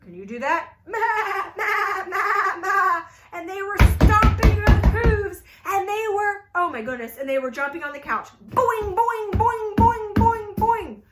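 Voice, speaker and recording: A woman telling a folktale at a children's storytime, playful and animated, inviting the kids to join in. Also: very high-pitched, a little slow, hardly any pauses, heavy background noise. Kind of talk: storytime read-aloud for kids.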